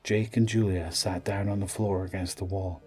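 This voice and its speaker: A man's voice: low-pitched, fairly even intonation, moderate pace, darkish tone, soft background music. A man giving dramatic scripted narration for an audio drama.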